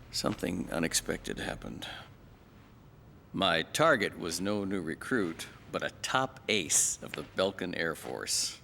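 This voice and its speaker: Gravelly, cynical fugitive assassin pilot. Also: male voice, deep voice, bright, forceful tone, heavily acted. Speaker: former fighter pilot interviewed. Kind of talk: dramatic documentary-style interview acting. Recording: clear background noise.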